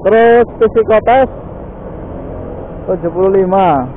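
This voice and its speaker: A man talks casually as he rides a motorbike, scattering little fillers through every sentence. His voice sits high and sounds thin and phone-like. Faint traffic hums underneath. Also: animated, takes it slowly. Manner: chatty, lots of "ya" and "guys" fillers. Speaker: motovlog host. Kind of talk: casual chat while riding. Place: riding a motorbike on city streets outdoors.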